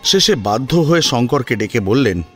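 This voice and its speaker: Casting: male storyteller, medium pitch, animated delivery, scripted serial narration over music. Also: normal speed, husky. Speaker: narrator and voice actor.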